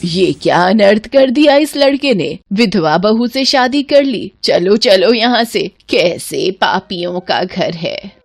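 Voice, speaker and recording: A woman's voice: mid-range voice, animated, slow, vocal fry, few pauses, no room sound, clean studio sound, full character voice. A woman voicing a stern old great-aunt. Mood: outraged. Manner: scolding, commanding. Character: stern, tradition-bound elderly great-aunt of a grieving family. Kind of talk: Hindi animated family melodrama.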